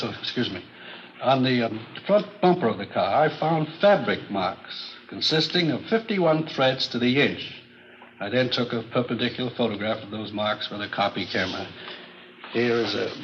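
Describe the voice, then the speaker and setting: An actor playing a matter-of-fact forensic expert witness in an old radio drama, testifying in a measured, unemotional way. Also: male voice, medium pitch, a bit bright, close to an everyday voice. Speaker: forensic chemist on the witness stand. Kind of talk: 1950s radio drama acting. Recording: muffled, noisy old radio recording.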